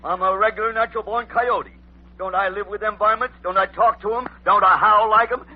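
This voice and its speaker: Radio western role: coyote-raised wild cowboy, high husky Western drawl, blustering, on a muffled old recording. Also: male voice, steady tone, brisk. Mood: defiant, boastful. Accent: American Western drawl. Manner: folksy, blustering, exaggerated cowboy talk. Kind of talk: 1940s American radio western acting.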